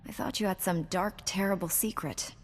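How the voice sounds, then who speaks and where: A woman's voice: medium pitch, fairly even intonation, normal speed, lightly acted. An actress playing a loyal, caring young female Jedi knight in a video game, speaking in an earnest, reassuring way. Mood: reassuring, warm. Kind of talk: scripted video game dialogue. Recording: long reverb, clear background noise.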